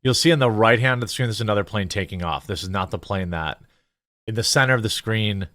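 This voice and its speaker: A man's voice: lowish voice, fast talker, fairly bright tone, dry recording. A man giving casual commentary on news footage as a show host, conversational and a little hesitant as he thinks aloud.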